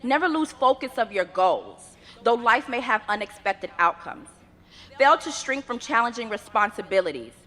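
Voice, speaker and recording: A young woman whose first language is Yoruba, giving an earnest commencement address at a podium microphone with measured, rhythmic phrasing. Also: fairly high pitch, lively intonation, sharp tone. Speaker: graduate student speaker. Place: graduation ceremony venue. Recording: long reverb of a large ceremony space.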